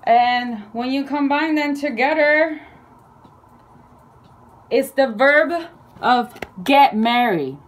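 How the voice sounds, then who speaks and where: Slow, halting woman, Chinese native. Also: high voice, lively intonation. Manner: slow, halting, playful, with fillers and self-corrections. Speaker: video creator. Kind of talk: casual explainer lesson.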